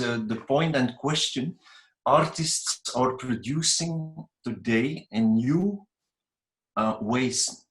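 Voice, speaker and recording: A man's voice: mid-range voice, fairly bright tone. A man at a conference making an earnest, critical point and then putting a question to the panel. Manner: earnest and critical, occasional restarts. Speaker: conference speaker asking a question. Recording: echoey conference room.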